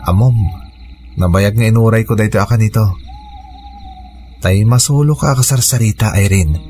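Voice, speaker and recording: An actor playing an earnest, tender young activist in a radio drama, with an Ilocano accent and a sentimental delivery. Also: male voice, fairly low pitch, normal speed, a little mellow, close to an everyday voice. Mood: tender, nervous. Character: earnest young activist man named Noel, tenderly in love. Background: music under the dialogue.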